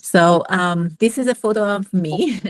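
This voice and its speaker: A woman's voice: mid-range voice, measured pace, no room sound. A woman from Iran, a physicist, speaking hesitantly with many fillers on a video call. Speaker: physicist and mentoring programme founder. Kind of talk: online panel talk.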